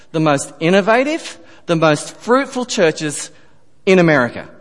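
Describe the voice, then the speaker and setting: A man preaching a sermon, emphatic, rising on key phrases and dropping to quiet asides. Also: a little high. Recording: long reverb of a church hall.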